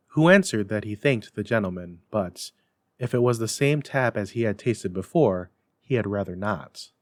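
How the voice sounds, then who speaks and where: A man giving a theatrical, expressive dramatic reading as a storyteller and host. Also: fairly low pitch, no room sound, studio quality. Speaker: library staff storyteller and host. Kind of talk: dramatic scripted narration.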